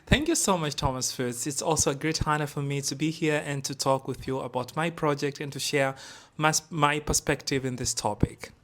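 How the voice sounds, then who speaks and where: A man's voice: on the high side, moderate pace, a bit bright. A young man from Tanzania, a guest scholar in a podcast interview, talking with many fillers, restarts and repeated words.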